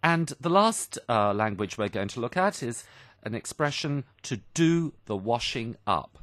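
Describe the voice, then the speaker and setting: A man hosting an educational radio programme for language learners, with very lively, varied intonation. He explains expressions step by step in simple words and repeats them clearly for students. The sound is dry and close, as in a studio. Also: medium pitch, a little slow, dry recording. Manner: didactic, explains phrases simply with examples and repetition. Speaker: radio programme host and English teacher. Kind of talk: explanatory English lesson for learners, radio presenting.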